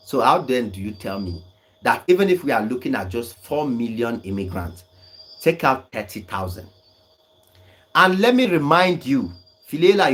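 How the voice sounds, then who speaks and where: A man's voice: fairly high pitch, fairly bright tone. A young man, talking on a livestream and arguing back at viewer comments with emphatic, scolding insistence and frequent laughs. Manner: argumentative, emphatic, laughs often. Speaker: online commentator.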